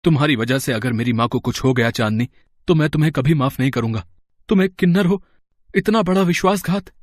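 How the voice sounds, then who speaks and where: A man's voice: medium pitch, a little fast, no room sound, studio quality, natural voice. A man voicing a bitter, despairing young husband in an audio story, speaking with dramatic reproach. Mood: angry.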